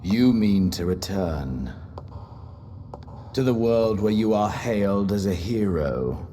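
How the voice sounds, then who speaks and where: An actor playing a battle-hungry, brooding warrior rival in a video game, with grave, theatrical, deliberate delivery and a gravelly voice. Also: male voice, fairly low pitch, animated, mellow, slight background noise. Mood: solemn intensity. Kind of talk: dramatic video game voice acting.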